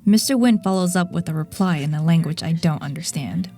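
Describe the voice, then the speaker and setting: A woman hosting a podcast, giving a measured, reflective scripted narration. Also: lowish voice, mellow, soft background music. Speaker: podcast host.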